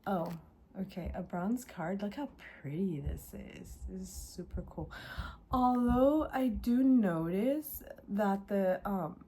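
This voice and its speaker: A woman hosting a casual craft unboxing vlog, her voice raspy and congested from a sore throat, speaking hesitantly with many ums. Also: mid-range voice, lively intonation, takes it slowly, mellow, slight background noise. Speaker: craft channel host.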